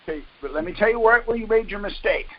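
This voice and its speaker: High-pitched man, insistent and corrective. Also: fast, no echo, lo-fi sound. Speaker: call leader and instructor. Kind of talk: explaining and debating on a conference call.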